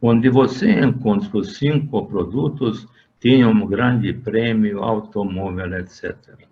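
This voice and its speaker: Slow older Hungarian-accented man. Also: on the low side. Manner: slow and halting, with frequent "eh" fillers and restarts. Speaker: interviewee. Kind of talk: recounting his working life in an interview. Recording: dull lo-fi sound.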